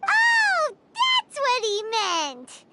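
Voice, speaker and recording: A woman voicing a tiny, excitable fairy companion girl with a squeaky, bouncy voice who talks about herself in the third person. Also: extremely slow, very noisy, heavily acted. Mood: excited. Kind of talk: video game voice acting. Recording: game audio over loud music.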